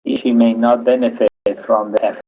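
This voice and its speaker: A man from Chile giving a webinar lecture, speaking haltingly with many "uh" fillers. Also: mid-range voice, little pitch movement, creaky. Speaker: engineering lecturer. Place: home office. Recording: tinny phone-like audio.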